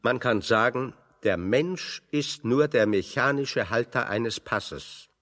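Slow, drily ironic physicist in reverb. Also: male voice, medium pitch. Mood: dry irony. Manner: deliberate, ornate, deadpan irony. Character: big, fat, pedantic physicist, drily ironic. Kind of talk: German radio drama dialogue.

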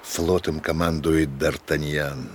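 An actor playing a shrewd, courtly musketeer conspirator in a radio play, speaking with earnest, dramatic urgency. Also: male voice, very deep voice, flat delivery, slow, slight background noise. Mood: tense, commanding. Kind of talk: Russian radio play acting.

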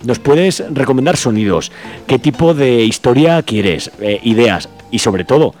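A man hosts a radio show in a casual, colloquial style. His voice sits at a middle pitch, with faint music behind it. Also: animated, a little slow, darkish tone. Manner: informal, colloquial. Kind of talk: casual announcement to listeners.

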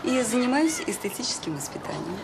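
An actress playing an officious, self-important schoolteacher in a radio drama, prim, didactic and quick to find fault. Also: female voice, a little high, sing-song, creaky. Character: officious, fault-finding female teacher, self-important visiting relative. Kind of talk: naturalistic Soviet radio drama acting. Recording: very noisy audio drama recording.

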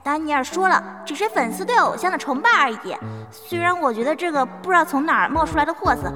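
A woman playing an indignant female fan in an audio drama, sharp and scornful. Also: high-pitched, animated, fast talker. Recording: long reverb, loud music behind.

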